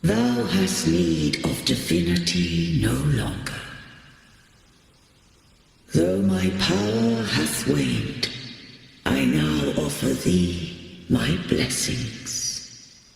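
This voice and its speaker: An ancient, fading god for a fantasy game. He is solemn and stately, speaking very slowly in archaic phrases with a low, gravelly creak. His gracious words swing widely in pitch inside a vast reverb. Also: very animated, exaggerated voice. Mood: solemn blessing. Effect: cavernous reverb. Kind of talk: archaic scripted game dialogue. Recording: echoey long reverb, music behind.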